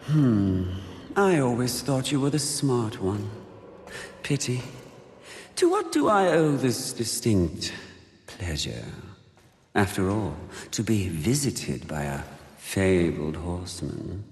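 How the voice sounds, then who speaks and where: An actor playing a suave, seductive demon of sin in a video game, with silky, theatrical, taunting delivery. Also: male voice, lowish voice, very animated, slow pace, darkish tone. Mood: silky, mocking. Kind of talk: video game cutscene acting. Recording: echoey hall reverb, faint noise.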